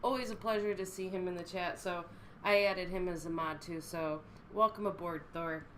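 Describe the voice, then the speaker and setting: A woman's voice: lowish voice, fairly even intonation, normal speed, a bit bright, slight background noise, poor audio. A woman co-hosting a live stream while she has a cold, chatting in a friendly way and thanking viewers. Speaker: co-host. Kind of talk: casual chat thanking viewers.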